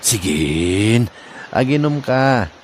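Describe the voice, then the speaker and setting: A man's voice: mid-range voice, sing-song, extremely slow, a little hiss or hum. A man playing a sly, playful, tipsy boyfriend who wheedles and sweet-talks in a comedy audio drama. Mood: wheedling, tipsy. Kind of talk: comedy radio drama acting.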